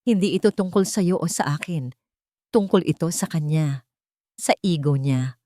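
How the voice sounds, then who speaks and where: A woman's voice: low-pitched, moderate pace, mellow, no room sound, studio quality, close to an everyday voice. A woman narrator voicing a wounded young woman, an older sister, in an audio love story. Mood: pleading, frustrated.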